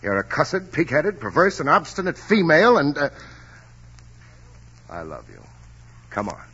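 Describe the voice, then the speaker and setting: An actor playing a hard-up stage actor in a 1940s radio drama, talking bashfully with stammers and hesitations. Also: male voice, a little high, very animated, a little fast, sharp tone, a little hiss or hum. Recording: dull lo-fi old radio recording.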